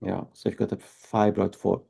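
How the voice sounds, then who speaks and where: A Belgian-accented man in his fifties with a low, gravelly, mellow voice. He is a fertility doctor in an interview, and he explains patiently and methodically, often checking in with tag questions. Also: flat delivery, moderate pace.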